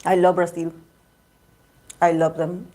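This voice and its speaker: Chatty woman, lowish voice. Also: level tone, unhurried, warm tone. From Argentina. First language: Spanish. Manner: chatty, repeats and restarts words, laughs often. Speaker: tarot reader livestream host. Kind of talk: casual livestream chat with viewers.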